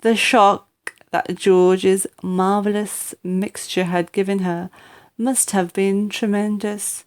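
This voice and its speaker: Slow, dramatic woman storyteller. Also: mid-range voice. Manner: deliberate, dramatic. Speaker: audiobook reader. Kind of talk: expressive scripted storybook narration.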